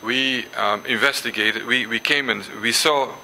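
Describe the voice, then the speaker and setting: An older man whose first language is Dutch gives a symposium lecture, pausing often with ums and restarts and reading passages aloud. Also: mid-range voice, sharp tone, slight background noise, rough recording. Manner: hesitant, many ums and restarts. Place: lecture hall.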